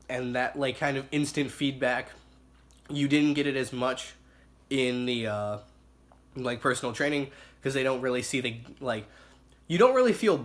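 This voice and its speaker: Raspy young man, a bit under the weather. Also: medium pitch, a bit bright. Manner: halting, many fillers and self-corrections. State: hoarse from illness. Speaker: student reporting on observation hours. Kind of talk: casual spoken report.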